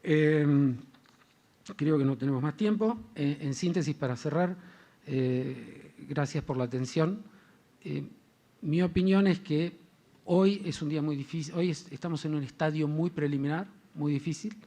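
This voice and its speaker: A man's voice: a little high, a little slow, dark tone. A man speaking as a panelist at a formal panel, hesitant, with frequent fillers.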